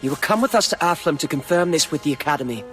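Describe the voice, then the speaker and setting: An actor playing a dignified, stern young marquis in a video game, speaking formally and with measured authority. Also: male voice, on the high side, animated, over music, close to an everyday voice. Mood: stern, accusing. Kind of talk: dramatic video game acting.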